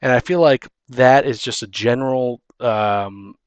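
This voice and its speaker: Guy hosting a dnd chat, medium pitch, rambles and restarts a lot, dry sound. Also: no room sound, highs cut off. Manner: halting, rambling, repeats and restarts phrases. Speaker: podcast host and dungeon master. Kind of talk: casual tabletop gaming advice chat.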